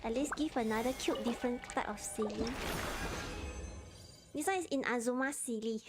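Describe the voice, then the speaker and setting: A woman's voice: fairly high pitch, moderate pace, music in the background. A Malaysian woman with a Malaysian accent, chatting casually in short clipped phrases while she plays a game on a livestream.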